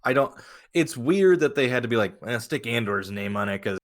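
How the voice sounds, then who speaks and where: A man co-hosting a podcast, chatting casually and giving opinions in a halting, blunt way with some swearing. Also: medium pitch, expressive. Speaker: podcast co-host.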